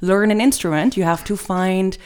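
A woman's voice: medium pitch, moderate pace, a little mellow, clean tone. A young woman from Germany, a native German speaker, explaining things casually in English with plenty of examples. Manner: explanatory, gives many examples.

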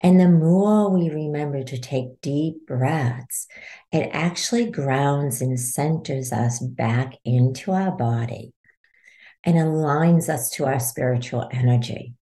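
A woman leading a guided meditation as a podcast host, giving soothing, unhurried instructions. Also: low-pitched, warm tone.

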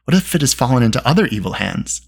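A storyteller voicing a grave, wise male warrior who warns in a calm, measured way. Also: mid-range voice, vocal fry, husky, full character voice. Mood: grave warning.

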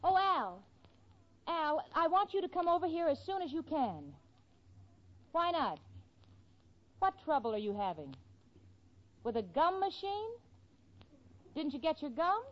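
High-voiced, exasperated young sitcom roommate. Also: female voice, sing-song. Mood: urgent. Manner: earnest, wry, brisk. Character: level-headed young woman, exasperated, protective roommate. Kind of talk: 1940s radio sitcom acting. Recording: dull vintage 1940s radio recording.

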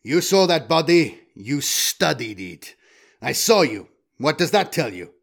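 A man voicing a tough, menacing male bounty hunter in an audiobook, taunting and deliberate. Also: fairly high pitch, very animated, gravelly, exaggerated voice. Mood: sardonic. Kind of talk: audiobook character voice.

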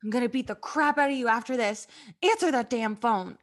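Actress as high-voiced, exasperated, blunt young woman. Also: fairly quick, close to an everyday voice. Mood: furious. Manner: sardonic, bossy. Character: exasperated, blunt, sarcastic young female companion. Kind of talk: comedic take on radio drama.

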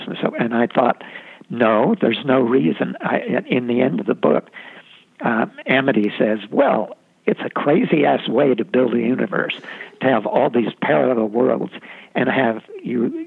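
A man, a novelist, talking reflectively about his writing in an interview, halting often with fillers and restarts. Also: medium pitch, vocal fry, slightly rough. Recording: tinny phone-line sound.

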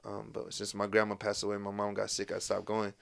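A man's voice: lowish voice, completely flat delivery, brisk, vocal fry, dry recording. Laid-back American man telling stories. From United States. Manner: laid-back storytelling with lots of fillers and restarts. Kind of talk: casual podcast conversation.

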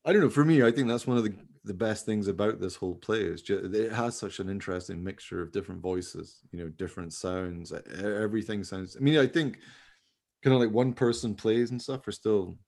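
A man's voice: fairly low pitch, a little fast, vocal fry, darkish tone. A man chatting casually and in a rambling way as a podcast host. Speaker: podcast host and radio play maker. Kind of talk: casual chat.

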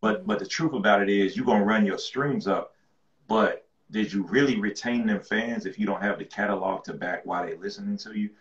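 Man from with a low, deep voice, relaxed slangy guest; muffled lo-fi sound in an echoey room. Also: fairly quick. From rural North Carolina. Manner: laid-back, slangy, repeats words as he restarts. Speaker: guest rapper. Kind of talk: casual interview chat.

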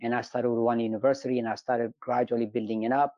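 A man's voice: medium pitch, level tone, quick pace, no echo, highs cut off. A middle-aged man from Ethiopia, an engineering professor telling his story in an interview with frequent hesitations. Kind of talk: conversational interview.

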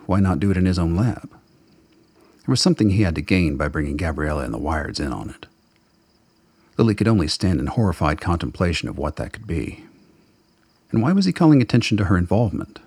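A man narrates a radio play in an extremely deep voice. It is full of gravel and husk. The delivery is scripted, dramatic storytelling. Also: darkish tone, no room sound.

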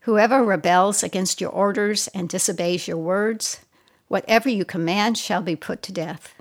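A woman reading scripture aloud in a solemn, measured, deliberate way. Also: mid-range voice, dry recording, studio quality. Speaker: scripture reader.

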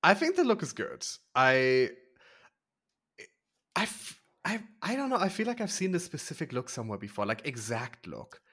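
A man co-hosts a casual podcast in an animated voice that rises and falls a lot. He gives emphatic, conversational commentary at a medium pitch. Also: moderate pace. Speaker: podcast co-host. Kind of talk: casual recap chat about a drag competition show.

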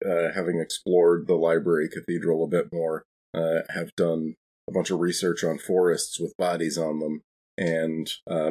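A man's voice: low-pitched, a little mellow, slightly rough. A man playing a tabletop role-playing game, talking casually and a little hesitantly in character.

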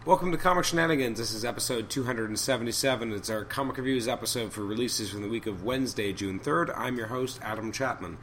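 A man hosting a podcast of off-the-cuff reviews, his voice a little hoarse and raspy from a cold, thinking aloud in a halting way. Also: medium pitch, a bit bright, a little hiss or hum. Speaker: podcast host. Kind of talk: unscripted comic book review commentary.

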